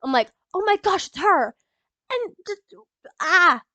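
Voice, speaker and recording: A young woman with a stuffy nose from a cold, rambling excitedly in a casual movie reaction vlog, full of ums and little laughs. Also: extremely high pitch, a little slow, no room sound. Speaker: YouTuber.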